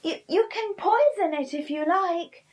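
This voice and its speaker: A woman's voice: very high-pitched, expressive, unhurried, pitched above the performer's own voice. A woman voicing a humble, frightened young man who pleads for his life. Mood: pleading.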